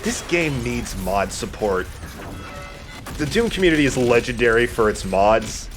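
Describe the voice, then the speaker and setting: A man's voice: medium pitch, very animated, measured pace, over music. A man giving casual commentary over a video game as he plays, joking, swearing and thinking aloud. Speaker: video game let's player. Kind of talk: casual gameplay commentary with friends.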